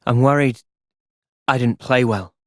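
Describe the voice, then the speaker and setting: A man's voice: mid-range voice, measured pace, dry recording, clean studio sound, lightly acted. A man playing an earnest, thoughtful young soldier hero with a British accent in a video game. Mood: earnest, calm. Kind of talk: video game cutscene voice acting.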